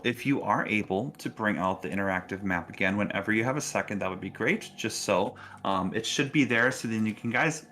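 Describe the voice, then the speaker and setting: A man with a lowish, clearly bright voice acts as game master for a tabletop game. He narrates casually and encourages his players in an easygoing manner. Music plays faintly behind him.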